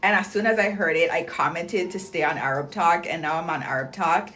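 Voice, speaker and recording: A young woman with a slightly low voice chatting on a livestream, bubbly and full of slang and fillers. She reads viewer comments aloud in a loose, rambling way, with soft music playing faintly behind her. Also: brisk. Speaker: livestream host promoting clinic deals. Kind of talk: casual livestream chat, reading viewer comments.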